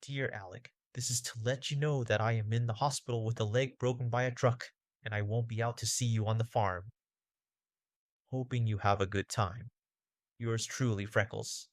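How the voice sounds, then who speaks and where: A man's voice: mid-range voice, steady tone, moderate pace, no room sound, clean studio sound, lightly acted. A man playing an earnest boy from an old comic strip, speaking in simple phrases. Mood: plain, resigned. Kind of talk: acted comic-strip dialogue.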